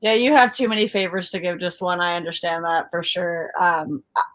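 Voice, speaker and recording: A woman's voice: lowish voice, fairly even intonation, a little fast, fairly bright tone. A woman co-hosting a book livestream, chatting casually in a rambling, informal way. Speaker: co-host of a book discussion livestream.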